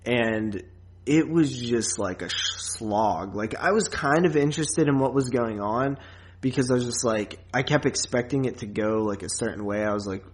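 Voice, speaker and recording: Male podcast co-host, casual film-review chat, loose and rambling, mid-pitched voice. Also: normal speed. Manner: rambling, frequent filler words, casual swearing.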